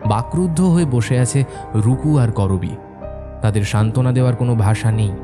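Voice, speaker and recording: A man's voice: mid-range voice, moderate pace. A man narrating a scripted horror story in a storyteller's delivery. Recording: music under the voice.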